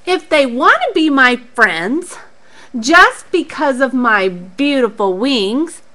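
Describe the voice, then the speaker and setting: A woman voicing a proud young male butterfly in a high, sing-song, dismissive voice. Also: very animated, takes it slowly, pushed and bright, full character voice. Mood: aloof.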